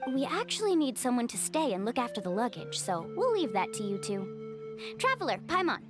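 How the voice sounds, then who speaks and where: A woman's voice: on the high side, big pitch swings, a little fast, over music. A woman voicing a cheerful, confident young female guide in a video game, upbeat and encouraging. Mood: reassuring. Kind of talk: animated video game dialogue.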